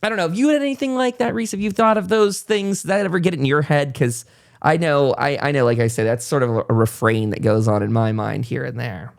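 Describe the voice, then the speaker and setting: A man's voice: on the high side, a little fast, vocal fry. A man hosting a casual interview podcast, talking in a rambling, reflective way as he thinks aloud. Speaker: podcast host and content creator.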